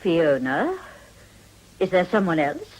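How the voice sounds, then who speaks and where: A woman's voice: lowish voice, huge pitch swings, takes it slowly, exaggerated voice, low-quality recording. An actress playing a genteel, prying middle-aged English mother, fussing anxiously in a period radio drama. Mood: anxious, astonished.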